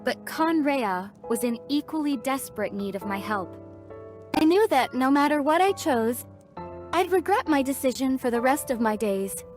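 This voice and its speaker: A woman's voice: sits high, animated, moderate pace, a little mellow. A woman playing a solemn, resolute young princess in a video game, speaking earnestly and formally as if burdened by old guilt. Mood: sorrowful, resolute. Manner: earnest, formal storytelling delivery. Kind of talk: dramatic video game dialogue. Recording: studio voice over background music.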